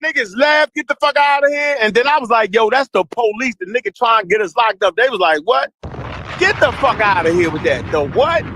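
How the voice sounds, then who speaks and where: An older man in a heated group conversation, telling animated, profane stories and acting out the quotes himself. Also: extremely high pitch, a little fast, no echo.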